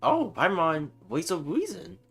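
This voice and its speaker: A man's voice: fairly high pitch, very animated, a little slow, vocal fry, music in the background. Casual male game streamer, chilled and chatty. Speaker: game livestreamer. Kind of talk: casual gameplay chat.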